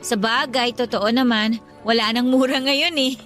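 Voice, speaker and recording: A woman's voice: fairly high pitch, fairly even intonation, moderate pace, fairly bright tone, soft background music, natural voice. A woman playing a friendly, teasing young working mother in conversational radio drama dialogue. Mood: chatty.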